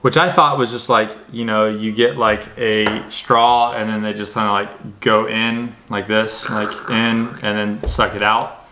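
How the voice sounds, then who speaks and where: A young man talking casually with his partner on her vlog, relaxed and joking. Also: on the low side, a little slow, background noise. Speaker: vlog guest, partner of host. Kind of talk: casual couple vlog chat.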